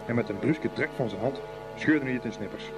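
A man's voice: medium pitch, brisk, over loud music, close to an everyday voice, poor audio. A man narrating a scripted boys' school story for a radio play. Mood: storytelling.

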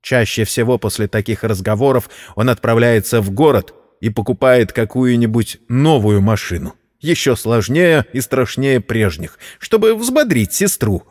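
A man narrating a scripted story in an expressive, theatrical way. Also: mid-range voice, measured pace, few pauses. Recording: long reverberant hall sound.

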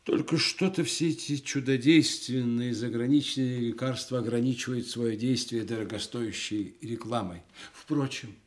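A man's voice: medium pitch, normal speed, few pauses, close to an everyday voice. An actor playing a loyal old war comrade and party man in a Soviet radio drama, speaking with restrained, sober steadiness. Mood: weary sympathy.

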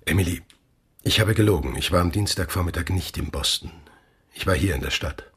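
A troubled middle-aged father in a German radio play. His voice is very low and extremely husky. He speaks gravely and haltingly, as someone confessing. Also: a bit bright, exaggerated voice. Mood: grave, remorseful.

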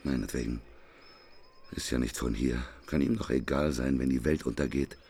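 Very deep, bitter prophet of doom. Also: male voice, fairly even intonation, normal speed, vocal fry, a little mellow, breathy edge, full character voice. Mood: resigned, brooding. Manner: sardonic muttering rising to declamatory proclamation. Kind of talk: German radio play acting.

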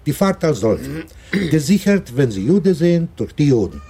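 An actor playing a talkative, world-weary older man in a German radio drama, lecturing emphatically with halting pauses and repetitions. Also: on the high side, sing-song, mellow, slightly rough, heavily acted. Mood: lecturing, insistent.

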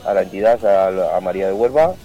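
Male singer guest, forties, mellow low voice, loose chatty radio talk, faint music bed. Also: animated, brisk, muffled recording. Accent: Andalusian-tinged singing drawl with Aragonese speech. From Zaragoza, Spain. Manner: chatty, rambling, colloquial. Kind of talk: casual radio interview.

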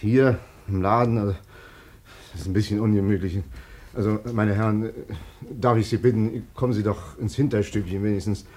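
A man's voice: lowish voice, steady tone, normal speed, dark tone, slight background noise, lightly acted. Chatty hairdresser played by a man, rambling storyteller. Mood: wistful, deferential. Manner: rambling, hesitant, colloquial storytelling. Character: chatty self-excusing middle-aged Berlin hairdresser, sentimental storyteller. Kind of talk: German radio play acting.

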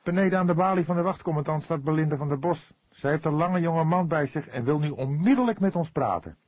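A man's voice: on the high side, quick pace, a little mellow, husky, no echo, voice pushed up. A man voicing an eager, impatient younger police detective who speaks in a heated, insistent way.

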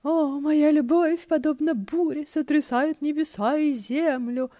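A woman voicing a mournful, wailing figure reciting overwrought love verse in a drawn-out theatrical moan. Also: high-pitched, steady tone, dark tone, dry recording, studio quality, pitched above the performer's own voice. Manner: drawn-out, theatrical moaning declamation.

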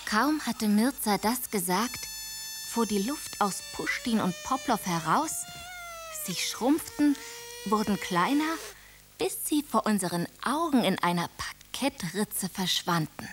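A woman's voice: medium pitch, expressive, unhurried, background noise. Woman narrating a radio play. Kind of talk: storytelling narration in a radio drama.

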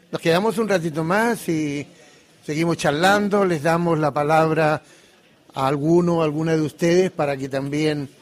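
Male moderator, moderately high voice, informal audience address with questioning tags. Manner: conversational, checking in with questions. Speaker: event moderator.

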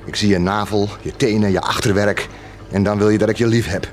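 A man's voice: fairly low pitch, steady tone, fairly quick, a little hiss or hum, lightly acted. An actor playing a bitter, commanding, sardonic man in a Dutch radio play. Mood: bitter, mocking. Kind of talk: Dutch radio play acting, live broadcast.

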